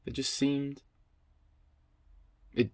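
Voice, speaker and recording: Candid young man, medium pitch. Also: little pitch movement, very slow, darkish tone. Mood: candid, reflective. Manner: hesitant, candid. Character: candid young man recounting a casual encounter. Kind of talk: confessional radio drama monologue.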